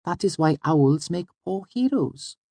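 A man voicing a whimsical, childlike young girl in an audiobook, speaking softly and daintily with earnest, precise phrasing. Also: fairly high pitch, animated, measured pace, creaky, slightly rough, dry recording, pitched above the performer's own voice, heavily acted. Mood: solemn. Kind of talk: audiobook character voice.

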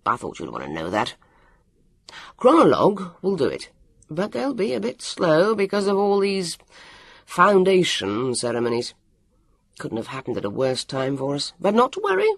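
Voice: mid-range voice, wildly swooping pitch, normal speed, vocal fry, husky, no room sound, studio quality, heavily acted. A narrator putting on a hearty, emphatic Time Patrol officer. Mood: brisk, reassuring. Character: Mr Donegal, brisk reassuring man of Time Patrol, hearty.

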